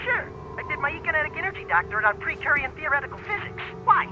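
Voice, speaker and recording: A man's voice: very high-pitched, big pitch swings, fast, muffled recording, music in the background. An actor playing a nervous, sarcastic, fretful male scientist in a cartoonish video game. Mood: sarcastic, anxious.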